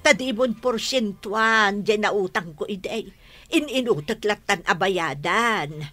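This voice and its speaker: A woman's voice: medium pitch, unhurried, bright, forceful tone, no echo. An actress with an Ilocano Filipino accent playing a defensive woman in debt who pleads and protests in a radio drama. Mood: pleading.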